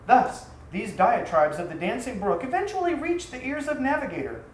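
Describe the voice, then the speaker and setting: A man reading his own story aloud as a theatrical storyteller, with a dramatic, deliberate delivery. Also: extremely high pitch, very animated. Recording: roomy echo, faint background noise.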